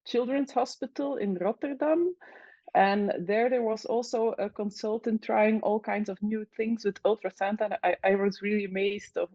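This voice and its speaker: A woman from Belgium, an anesthesiologist speaking in a casual interview, hesitant with many fillers and pauses. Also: mid-range voice, steady tone, mellow, no room sound, muffled recording. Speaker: anesthesiologist guest.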